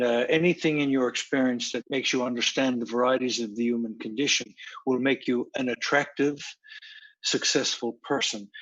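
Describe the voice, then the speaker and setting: A man acting as a counselor, giving measured, instructive advice in plain sentences. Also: medium pitch, highs cut off. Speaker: counselor co-host.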